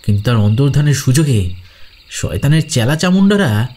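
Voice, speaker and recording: Man playing uneasy schoolboy sidekick, mellow voice. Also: mid-range voice, very animated, a little fast. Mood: shuddering unease. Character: Ajay, uneasy, admiring schoolboy sidekick in an adventure. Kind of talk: Bengali audio drama acting.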